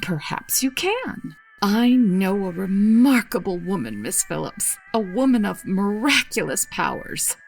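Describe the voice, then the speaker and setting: Woman playing a creaky, genteel old lady. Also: mid-range voice, very animated, measured pace, soft background music. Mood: confiding. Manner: polite, hesitant, confiding. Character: genteel old lady with violets, earnest and gentle. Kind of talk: comedy audio drama acting.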